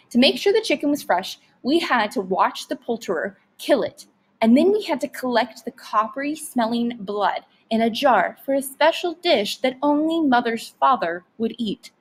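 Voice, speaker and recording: Higher-voiced woman reading a story aloud. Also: breathy edge. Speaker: read-aloud narrator.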